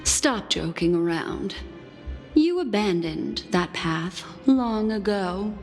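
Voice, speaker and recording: A cool, sharp-minded game heroine talks slowly and dryly, wary and dismissive, as if accusing an old rival. Her mid-pitched voice rings with long reverb over music. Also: lively intonation. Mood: curt. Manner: measured, dry, accusing, dismissive. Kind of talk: dramatic video game voice acting.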